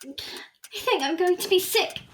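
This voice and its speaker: Her voice sits high, with careful formal wording. It is a woman acting a perceptive, prim young daughter of a Victorian family, speaking earnestly in an audio drama. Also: animated, measured pace, a bit bright, heavily acted. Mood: anxious.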